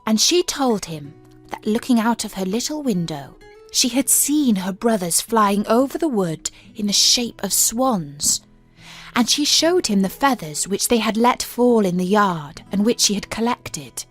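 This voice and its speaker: A woman reading a fairy tale as an audiobook narrator, in a measured, careful storybook manner. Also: medium pitch, animated. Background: background music.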